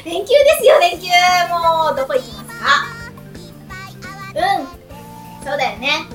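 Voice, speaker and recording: An excitable, giggly woman with a youthful, girlish voice, hosting a radio talk show with high energy. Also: slow. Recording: roomy, distant mic, music behind.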